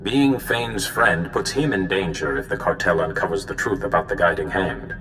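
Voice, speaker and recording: A man playing a solemn, worried Jedi mentor in a video game, speaking in measured explanations. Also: deep voice, fairly bright tone. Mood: grave. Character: grave, worried male Jedi mentor giving orders. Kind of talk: cinematic video game dialogue. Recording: hall reverb, faint background noise.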